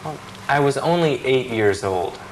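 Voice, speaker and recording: A man's voice: mid-range voice, animated, measured pace, slight background noise, low-quality recording. A man reading the answering part in a scripted practice dialogue, matter-of-fact with a joking touch. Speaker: dialogue reader answering questions.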